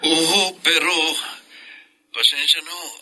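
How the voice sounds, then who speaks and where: A man's voice: on the high side, fairly even intonation, takes it slowly, sharp tone, a little hiss or hum, exaggerated voice, poor audio. An actor playing a polite, kindly young man in a radio drama, respectful and apologetic.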